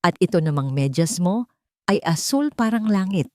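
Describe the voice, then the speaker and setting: Woman reading as sweet young maid, calm but firm, mellow, storybook drama. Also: fairly low pitch, moderate pace, no room sound, lightly acted. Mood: playful, teaching. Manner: calm but emphatic, respectful. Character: gentle, firm young housemaid in her twenties.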